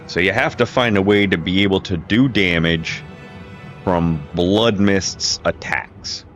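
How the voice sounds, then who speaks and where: A low-voiced man talks through a game build in a loose, meandering way, circling back and correcting himself as he goes. His streaming mic picks up a slight crackle, with faint game music underneath. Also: unhurried, a bit bright. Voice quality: low-pitched voice. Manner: rambling, restarts and self-corrections. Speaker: gaming video creator. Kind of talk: casual gameplay commentary. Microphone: home streaming mic with crackle.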